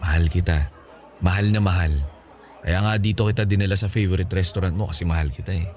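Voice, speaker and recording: A man's voice: low-pitched, fairly even intonation, fast, a little mellow, some chatter behind, lightly acted. A man playing a charming young suitor in a Filipino radio romance drama, speaking with a Filipino accent in a playful, coaxing, conversational way. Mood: affectionate.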